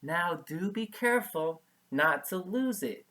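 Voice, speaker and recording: A man voicing a tender, gently teasing mother raccoon from a children's storybook, in a reassuring, careful manner. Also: high-pitched, expressive, unhurried, pitched above the performer's own voice, exaggerated voice.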